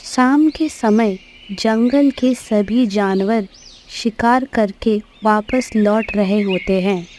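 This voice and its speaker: A woman's voice: medium pitch, takes it slowly, darkish tone, slight background noise. A woman narrating a scripted animal story for children as a storyteller.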